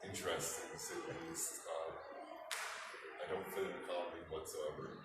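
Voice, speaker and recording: A man's voice: low-pitched, fairly even intonation, normal speed, loud music behind the voice. A man from New England answering interview questions in a mall with short, dry, understated humor. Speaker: interview guest. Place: inside a shopping mall. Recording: echoey, distant mic, loud mall noise.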